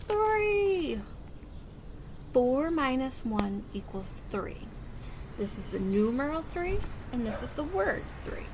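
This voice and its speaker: High, animated woman reading to children. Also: slow pace, noisy background. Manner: expressive, sing-song, simple teacherly explaining. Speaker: early childhood teacher reading aloud. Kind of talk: animated children's read-aloud with singing and counting.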